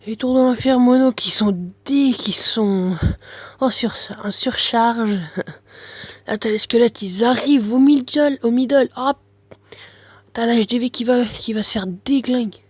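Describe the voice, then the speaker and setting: Male gameplay commentator, congested from a cold, breathy, slangy and offhand. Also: very high-pitched, a little slow, darkish tone, dry recording. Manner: mumbling, slangy, full of fillers. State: has a bad head cold. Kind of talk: casual game commentary.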